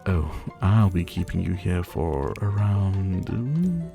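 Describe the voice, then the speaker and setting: A man playing a suave, possessive vampire who was once a doctor, speaking to the listener in a theatrical, softly menacing ASMR roleplay. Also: deep voice, very animated, slow pace, gravelly, faint music behind, exaggerated voice. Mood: teasing, controlling. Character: older male vampire captor, former doctor, suave, possessive.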